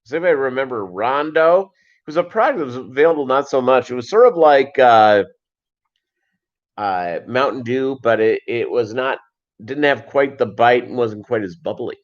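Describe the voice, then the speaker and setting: A middle-aged American man chatting casually and unscripted on a livestream, rambling and halting with a jokey manner. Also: mid-range voice, expressive. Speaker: fishkeeping livestream host and voiceover performer. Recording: dull lo-fi livestream audio.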